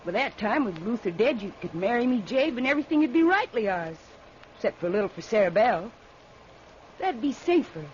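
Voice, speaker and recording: A woman's voice: fairly high pitch, very animated, brisk, darkish tone. A woman acting in an old-time radio drama as an anxious, guilty rural woman with fretful, folksy speech. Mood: worried. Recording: muffled, noisy old radio recording.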